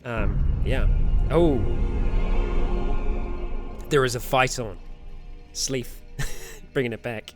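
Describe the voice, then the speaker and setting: A man's voice: mid-range voice, very slow, long pauses, over music. A man hosting a casual livestream and answering viewer comments, rambling a little with fillers, self-corrections and frequent laughs. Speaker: streamer host.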